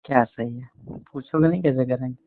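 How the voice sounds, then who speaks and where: A man's voice: medium pitch, fairly quick, slight background noise. A man chatting casually and intimately on a phone call, in a coaxing, teasing manner. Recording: tinny, band-limited phone call recording.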